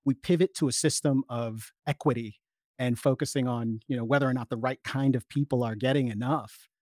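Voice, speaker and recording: A man speaking as an interview guest, making an explanatory argument in measured, articulate long sentences. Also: medium pitch, steady tone, darkish tone, no room sound, studio quality.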